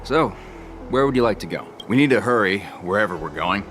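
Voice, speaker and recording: An actor playing a busy, obliging star skiff pilot in a video game, with a businesslike, everyday way of talking. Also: male voice, on the low side, expressive, brisk, fairly bright tone, slight background noise. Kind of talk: conversational video game acting. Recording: music under the voice.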